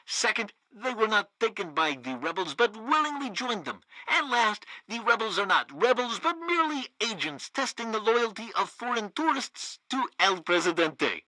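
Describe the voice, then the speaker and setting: A man's voice: sits high, sing-song, normal speed, hardly any pauses, bright, forceful tone, no echo, full character voice, poor audio. An actor playing a pompous, theatrical and fawning male propaganda radio news host in a satirical video game.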